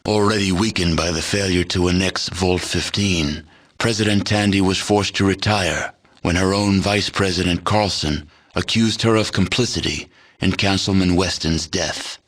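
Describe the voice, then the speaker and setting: An actor playing a grave, world-weary storyteller narrating a post-apocalyptic video game in a measured, solemn, deadpan way. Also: male voice, low-pitched.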